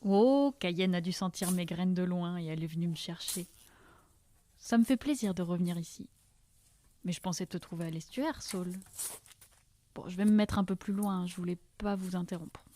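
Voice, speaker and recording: A woman's voice: on the low side, normal speed, dark tone, a little hiss or hum, natural voice. A woman playing an enthusiastic nomadic seed-bearer, chatty and full of marvel, in an audio drama. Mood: pleased. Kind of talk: fiction podcast dialogue.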